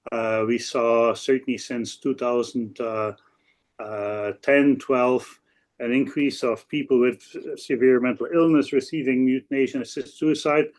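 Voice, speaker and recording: A man in his sixties from Belgium, a native Dutch speaker with a Belgian Dutch accent, speaking haltingly as a law professor introducing his work on a webinar. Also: medium pitch, fairly even intonation. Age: 60s. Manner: halting, with frequent hesitations and repeats. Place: office.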